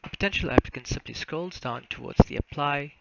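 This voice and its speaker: A young South African man giving a formal, step-by-step narrated walkthrough of software. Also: on the high side, brisk, vocal fry. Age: young adult. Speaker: student software developer presenting a demo. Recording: muffled lo-fi mic, faint noise, clicks.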